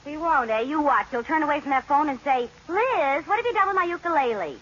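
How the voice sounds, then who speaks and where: An actress playing a playfully sarcastic housewife with teasing comic delivery in a 1940s radio sitcom. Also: female voice, high voice, expressive, a little fast, fairly bright tone. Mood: dismayed, wry. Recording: scratchy muffled old-time radio recording.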